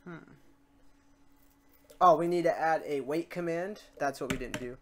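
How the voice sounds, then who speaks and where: An informal tutorial host talking through software steps casually, muttering asides and self-corrections while working. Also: medium pitch, measured pace. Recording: noisy room, keyboard typing and clicks. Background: keyboard typing, mouse clicks, background noise.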